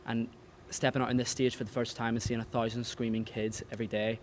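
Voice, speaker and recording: A man's voice: medium pitch, flat delivery, fast talker. A young man, an actor giving a sincere interview. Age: young adult. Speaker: pantomime actor. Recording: faint background ambient noise.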